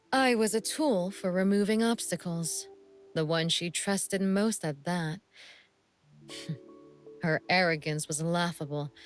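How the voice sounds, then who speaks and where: A sly creepy assassin girl from a game, real slow and teasing, music behind her. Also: fairly low pitch. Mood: bitter, longing. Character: sly, menacing young female assassin with mind-reading eye. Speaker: video game character. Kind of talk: scripted game character monologue.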